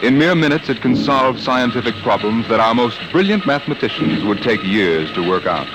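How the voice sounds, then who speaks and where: A man acting as a portentous, deliberate narrator of science fiction tales in the style of 1950s radio drama. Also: mid-range voice, very animated, close to an everyday voice, rough recording. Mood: portentous, measured. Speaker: announcer and narrator. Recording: old radio broadcast recording. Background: music.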